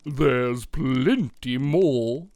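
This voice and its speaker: A man voicing a grumpy big male bear in a booming, huffy, dramatic way. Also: very slow, creaky, darkish tone, no echo. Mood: grumbling.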